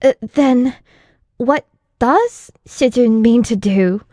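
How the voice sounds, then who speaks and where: A woman's voice: a little high, takes it slowly, warm tone, dry recording, clean studio sound, voice pushed up. A woman reading an audiobook, voicing a respectful, earnest young male disciple who explains things deferentially. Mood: flustered. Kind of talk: audiobook character dialogue.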